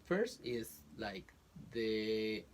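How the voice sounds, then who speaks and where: A man from Colombia with a Colombian Spanish accent, speaking as a college professor and interview guest in casual chat. He talks haltingly, restarting phrases as he searches for words, recorded in a small home closet. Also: on the low side, sing-song, a little hiss or hum.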